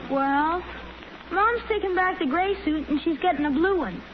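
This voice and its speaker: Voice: very high-pitched, moderate pace. A performer playing a curious, easygoing young American boy in a 1940s radio sitcom. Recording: muffled, noisy 1940s radio broadcast.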